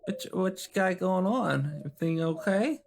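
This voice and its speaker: A man playing a tabletop role-playing game, talking casually in character in a halting, rambling way. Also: sits high, animated, gravelly, a little mellow, slight background noise. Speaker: player.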